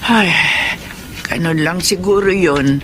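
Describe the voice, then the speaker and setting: A woman's voice: lowish voice, slow, a little hiss or hum. A woman with a Filipino accent playing a fretful, caring older mother of grown sons in a radio drama. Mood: concerned, motherly. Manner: pleading, motherly, concerned.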